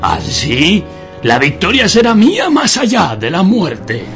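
An actor playing a pompous, exalted professor in a radio drama, solemn and grandiloquent. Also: male voice, sits high, huge pitch swings, slow pace, fairly bright tone, soft background music, exaggerated voice. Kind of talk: theatrical Spanish radio drama.

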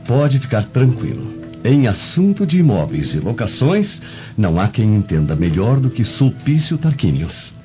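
A man's voice: lowish voice, wildly swooping pitch, moderate pace. An actor with a Brazilian accent playing a boastful, scheming Roman guardsman in a radio drama, with an ingratiating manner. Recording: dull lo-fi audio with music.

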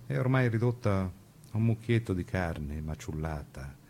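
A man with a fairly low voice reads a scripted radio narration. His delivery is grave and deliberate, phrased like theatre. A faint noise sits behind the voice. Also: lively intonation, a little mellow.

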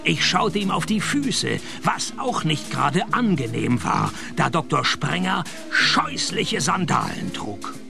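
An actor playing a grumpy, world-weary private detective in a comic radio play, with dry, sarcastic wit. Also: male voice, a little high, sing-song, slow pace, gravelly, over music, heavily acted. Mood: wry narration. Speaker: detective narrator. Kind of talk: comic radio play acting and first-person noir narration.

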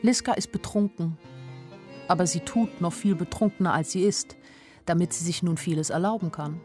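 A woman's voice: on the low side, moderate pace, darkish tone, faint music behind, natural voice. Actress as anxious young woman, intimate radio-play narration. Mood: tense. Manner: intimate inner monologue in short plain sentences. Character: anxious young woman narrating her flight, inwardly urgent. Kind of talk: literary radio play narration in present tense.

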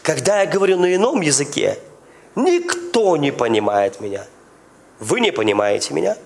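High-voiced man preaching. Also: normal speed, gravelly. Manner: lively preaching with questions to the congregation; speaks in tongues. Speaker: pastor. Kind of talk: sermon.

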